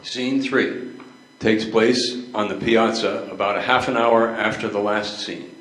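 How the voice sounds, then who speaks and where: An older man narrates scene settings from a script. His voice sits a little low. The recording has a strong room echo. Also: a little slow, fairly bright tone. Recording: echoey room.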